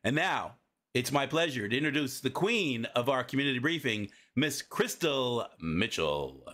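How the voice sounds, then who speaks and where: A man hosting a live community briefing over a video call, opening the show in a friendly, measured way with a few fillers. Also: medium pitch, sing-song, a bit bright. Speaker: host.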